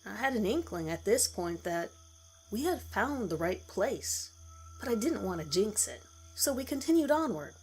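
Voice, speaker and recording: A woman's voice: mid-range voice, sing-song, moderate pace. A woman reading a scripted horror story in the first person as its narrator. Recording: music behind the voice. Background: background music.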